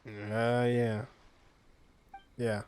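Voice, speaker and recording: A laid-back man talks over a game he is playing, dropping casual joking asides. He speaks slowly at a middle pitch, with faint hiss behind him. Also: flat delivery, a little mellow. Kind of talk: casual gameplay commentary.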